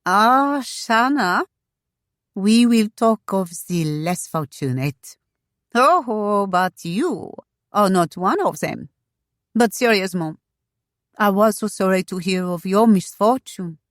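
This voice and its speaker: A woman's voice: mid-range voice, lively intonation, takes it slowly, dry recording, studio quality, voice pushed up. A woman voicing a flirtatious, playful society lady in a storybook, gushing and exclamatory with a foreign accent. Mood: gushing sympathy.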